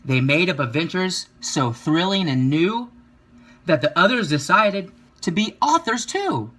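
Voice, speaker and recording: Bright-voiced man reading a children's story. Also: sits high, big pitch swings, unhurried. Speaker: reader of a children's picture book. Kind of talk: children's story read-aloud.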